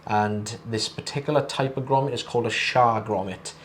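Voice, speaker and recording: Brief: British male clinician, lowish croaky voice from a cold, halting delivery, faint background hiss. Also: fairly even intonation. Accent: British English. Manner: hesitant, halting phrasing. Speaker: ear wax removal clinician. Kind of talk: co-presenting a clinical video.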